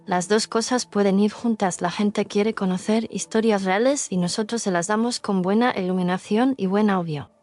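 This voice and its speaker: Actress as confident young ghost-hunting streamer. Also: female voice, mid-range voice, steady tone, normal speed, few pauses, a little mellow, faint music behind, close to an everyday voice. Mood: confident, eager. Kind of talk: scripted horror audio drama.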